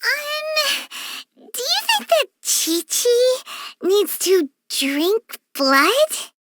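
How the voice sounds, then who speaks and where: A woman voicing a small, childlike fairy companion in a video game, squeaky, excitable and naive, with exclamatory delivery. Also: drawn-out words, background noise, heavily acted. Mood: curious. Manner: exclamatory, refers to self in third person. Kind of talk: video game character voice acting.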